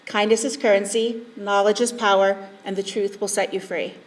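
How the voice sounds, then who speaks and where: A woman's voice: medium pitch, flat delivery, moderate pace. A woman teacher and advisor giving a formal, earnest tribute speech with some hesitant fillers. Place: school event hall. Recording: voice from a distance in a hall.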